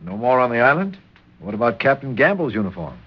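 An actor in an old radio drama playing a dutiful, weary ship's officer who is conciliatory and dryly humorous. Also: male voice, mid-range voice, sing-song, fairly quick, husky. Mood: dryly genial. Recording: muffled old radio recording.

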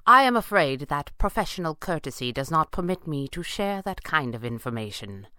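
Smooth, cruel pulp villain, man reading, money-hungry, all menacing courtesy, with a highly varied melody. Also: very animated, moderate pace, pushed and bright, no room sound, studio quality, heavily acted. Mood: amused. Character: oily, greedy male villain, smooth-talking, cruel mercenary. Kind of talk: dramatised pulp adventure audiobook.

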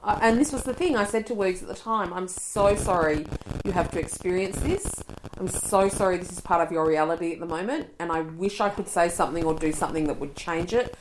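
A woman's voice: lowish voice, a little fast, noisy background. A middle-aged woman, co-hosting a podcast in blunt, playful chat with plenty of swearing. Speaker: podcast co-host. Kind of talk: casual chat.

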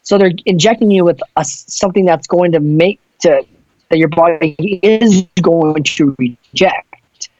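Guy from a touring band rambling about stuff, kinda high voice, swears a lot, dull dry sound. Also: unhurried, a little mellow, dry recording. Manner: loose, profane, rambling. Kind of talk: casual chat.

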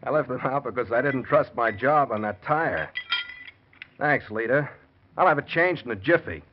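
An actor as a scheming American husband in an old radio thriller. His medium-pitched voice swings widely with theatrical, nervy intensity. The recording is dull and faintly noisy. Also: animated, normal speed, lightly acted. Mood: falsely casual. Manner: intense, theatrical confessional narration. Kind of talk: classic American radio drama acting.

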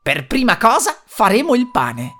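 A woman voicing a cruel shouting old witch. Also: fairly high pitch, wildly swooping pitch, normal speed, full character voice. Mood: scornful. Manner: harsh scolding shouts. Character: cruel half-blind old female witch.

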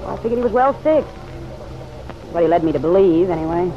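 A hard-edged city gal who is guarded and quick to take offense. She talks in curt, defiant slang, in old-time radio drama style. The sound is a dull vintage recording with faint noise. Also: fairly low pitch, expressive. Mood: guarded, bitter. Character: tough, guarded city woman, huffy and defensive.

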